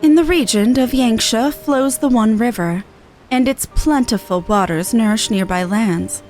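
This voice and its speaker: A woman voicing a courteous, formal storyteller lady who recites old legends in a measured, archaic manner for a fantasy game. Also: on the high side, a little mellow, faint music behind, close to an everyday voice. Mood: solemn, reverent.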